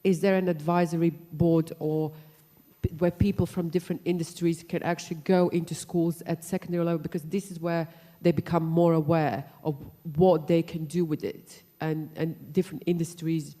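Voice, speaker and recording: A woman from Croatia asking a panel a question from the audience, pausing often to find her words. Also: sits low, level tone, warm tone. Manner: hesitant, searching for words. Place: lecture hall. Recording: long hall reverb.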